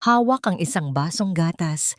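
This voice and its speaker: A woman narrates a scripted romantic drama in a slightly low voice. Her delivery is solemn and melodramatic, with carefully placed phrases. The recording is dry, free of room sound. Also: expressive, moderate pace, dry recording. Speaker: story narrator.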